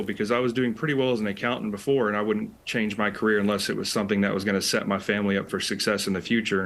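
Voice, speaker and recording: An American man, roughly thirties to forties, answering conversationally as an interview guest and specialist. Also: fairly low pitch, a bit bright, a little hiss or hum. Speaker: interview guest, air traffic control specialist.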